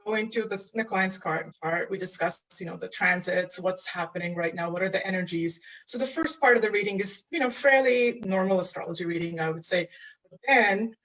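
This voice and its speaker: A woman from Estonia speaks as an interview guest, earnest and unhurried, often pausing to find her words. She talks over a video call in a relaxed, conversational way. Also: lowish voice, poor audio. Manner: earnest, searching for words, laughs easily. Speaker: astrologer and coach guest. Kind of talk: casual interview.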